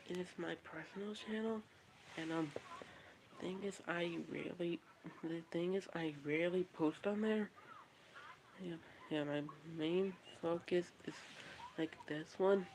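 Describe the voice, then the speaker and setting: A woman's voice: fairly low pitch, darkish tone, slight background noise, low-quality recording. A woman chatting casually on a livestream, often stopping and restarting her sentences. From Texas, USA. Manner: halting, repeats and restarts phrases often.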